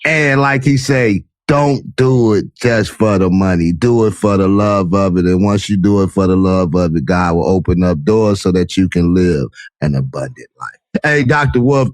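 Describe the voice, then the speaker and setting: A man's voice: fairly low pitch, no room sound. Hype motivational male podcast host. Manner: energetic, motivational, slang-heavy. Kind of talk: interview podcast.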